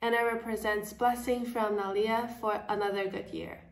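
Brief: teen girl from Taiwan, class presenter, steady explaining tone, roomy echo. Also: medium pitch, moderate pace, distant mic, slight background noise. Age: teens. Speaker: student presenter. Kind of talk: school project presentation.

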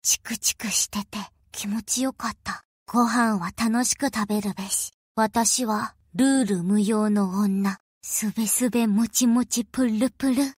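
A woman voicing an aloof, quirky young idol girl with deadpan, clipped phrasing, in anime-style prompts. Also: a little high, a little slow, hardly any pauses, slightly rough, no echo, studio quality. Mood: flat, cool.

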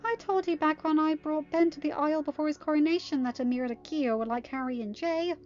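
A woman reading a story, voicing a feisty, sharp-tempered teenage girl with an exasperated, emphatic delivery. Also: high voice, fast, voice pushed up, lightly acted. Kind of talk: amateur story reading with dialogue. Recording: dull lo-fi audio, music behind.

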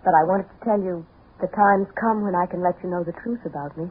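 Husky, mysterious femme fatale. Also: female voice, fairly low pitch. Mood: cool, secretive. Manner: measured, enigmatic. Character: mysterious veiled femme fatale, calm and secretive. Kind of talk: 1950s radio drama acting. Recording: tinny 1950s radio recording.

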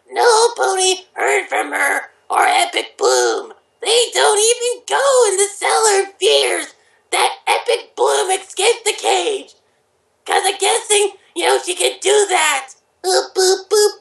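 A woman voicing a high-pitched cartoon character who delights in despair, reading a story aloud. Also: vocal fry, exaggerated voice. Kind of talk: character-voice reading of fan fiction. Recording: roomy echo.

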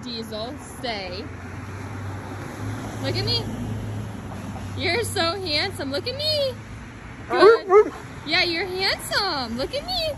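Woman, bright sing-song pet talk, unhurried, phone mic with clear street noise. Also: extremely high pitch, very animated. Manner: coaxing, baby-talk to a pet. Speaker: dog owner filming her dog. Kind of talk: casual talk to her dog. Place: home then outdoor street market. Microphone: handheld phone camera.

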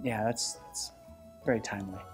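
A man's voice: lowish voice, fairly even intonation, normal speed, breathy edge, faint music behind. An American man in his thirties co-hosting a children's storybook show, mixing read-aloud with mock-serious comic banter. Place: small bright indoor studio set.

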